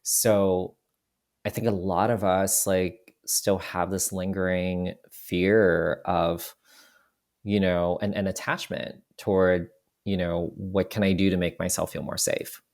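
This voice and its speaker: A man with a very low, gravelly voice settles into a reflective podcast chat. His first language is Cantonese, and his thoughts come out with searching pauses and small restarts. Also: flat delivery, a little mellow. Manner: thoughtful, frequent restarts and "you know". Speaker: podcast guest, photographer. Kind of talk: reflective casual conversation.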